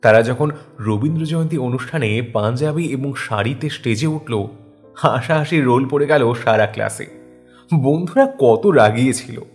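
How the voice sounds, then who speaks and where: Male storyteller over soft music. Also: medium pitch, lively intonation, moderate pace. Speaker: narrator. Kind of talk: scripted, dramatic storytelling. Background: soft instrumental music.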